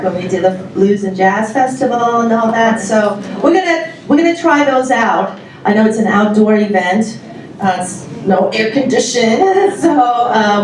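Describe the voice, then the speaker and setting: A woman welcomes a live audience, chatty and giggly, often pausing on fillers. Her voice sits a little high. She is heard from a distance in a very echoey hall. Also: slight background noise. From Taiwan. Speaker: music club organizer presenting a show. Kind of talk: informal welcome speech to an audience. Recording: very echoey hall, mic far off.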